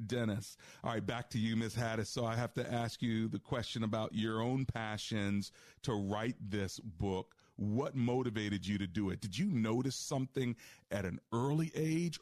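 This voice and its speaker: A man hosting a radio call-in show in a studio, friendly and brisk, often giving short murmurs of agreement. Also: mid-range voice, darkish tone, no echo. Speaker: radio talk show host.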